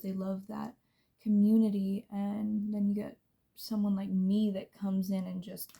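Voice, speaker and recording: A young woman with a scratchy voice, chatting casually and rambling as she does her makeup, often dropping into soft near-whispers. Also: medium pitch, gravelly. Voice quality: scratchy smoker's voice. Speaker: beauty vlogger. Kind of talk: casual chat while doing makeup.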